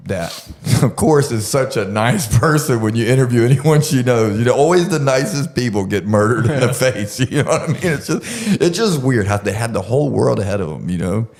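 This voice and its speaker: A man's voice: medium pitch, expressive, fairly quick, few pauses, darkish tone. A man telling a true-crime story casually, with crude, profane joking and laughter woven in. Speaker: podcast storyteller.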